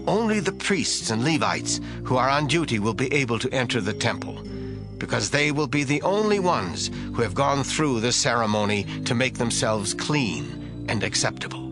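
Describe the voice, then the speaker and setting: An actor playing a stern, resolute priest giving orders in a declamatory audio Bible drama style. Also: male voice, mid-range voice, lively intonation, normal speed, close to an everyday voice. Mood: solemn, instructing. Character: commanding priest rallying his men, stern and resolute. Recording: music bed behind voice.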